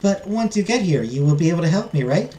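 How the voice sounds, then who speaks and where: A woman's voice: lowish voice, lively intonation, fast, dark tone, reverberant, pitched above the performer's own voice, close to an everyday voice, poor audio. A woman voicing an anxious, earnest young princess in a storybook, speaking with polite, formal pleading. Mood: pleading, urgent. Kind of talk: storybook character voice.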